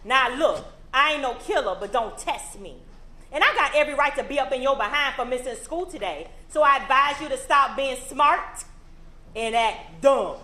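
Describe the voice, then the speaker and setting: A woman's voice: high-pitched, animated, moderate pace. An actress playing a harsh, abusive middle-aged mother, scornful and volatile, in a stage play. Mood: menacing. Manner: scornful, shifting from mock piety to shouting insults. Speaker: stage actor. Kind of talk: recorded stage play performance. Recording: echoey stage from a distance.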